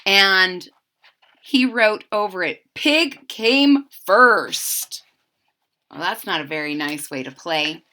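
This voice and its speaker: A woman reading a children's picture book aloud in an expressive, sing-song manner, speaking directly to young listeners. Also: lowish voice, unhurried.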